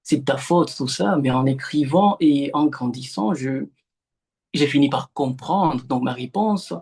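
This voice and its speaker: A young man from the Democratic Republic of the Congo, a Swahili native speaker, answering literary interview questions haltingly over a remote call, with many fillers and restarts. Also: mid-range voice, darkish tone, husky. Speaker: novelist, interview guest.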